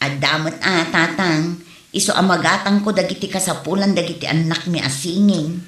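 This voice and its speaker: A woman's voice: lowish voice, moderate pace, fairly bright tone, natural voice. A woman with an Ilocano accent playing a weary, hot-tempered young wife and mother in an audio comedy drama. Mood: weary, plaintive. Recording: roomy echo, faint background noise.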